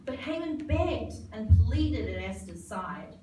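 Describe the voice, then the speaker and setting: A woman's voice: fairly high pitch, big pitch swings, takes it slowly. A woman narrator reading a scripted, rhyming storybook tale for a live performance. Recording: very echoey, distant, noisy live hall.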